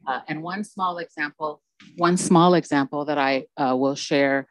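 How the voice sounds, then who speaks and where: A woman's voice: deep voice, fairly even intonation, measured pace, no room sound, poor audio. A woman on a conference panel, talking in an earnest, meandering way into a handheld mic on stage. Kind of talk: panel discussion.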